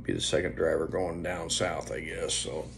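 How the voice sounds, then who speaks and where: Casual male vlogger, fifties, deep voice, meandering delivery, phone recording with slight background hum. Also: vocal fry. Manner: unhurried, meandering, trailing off. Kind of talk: casual rambling vlog chat. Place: indoors, small room at home. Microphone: phone at arm's length.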